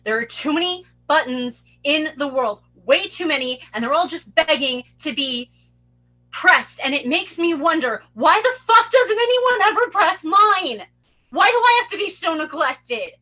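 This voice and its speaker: Casting: actress as wounded, volatile young woman patient, high-pitched, table-read drama, slightly muffled audio. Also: animated, unhurried, sharp tone, heavily acted. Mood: bitter, agitated. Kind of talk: live table read of a film script.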